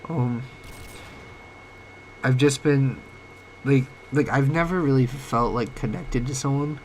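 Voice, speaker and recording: A young man with a cold and a cracking voice, talking about his life in a halting, rambling way full of fillers. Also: medium pitch, slight background noise. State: sick, voice cracking. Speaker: vlogger. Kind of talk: late-night personal talk. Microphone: home microphone, uneven volume.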